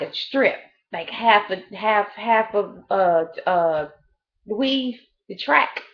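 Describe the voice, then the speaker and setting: A woman's voice: mid-range voice, muffled recording. A woman in her late thirties giving a blunt, profane, rambling gossip review of a reality show in a casual chat. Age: 30s.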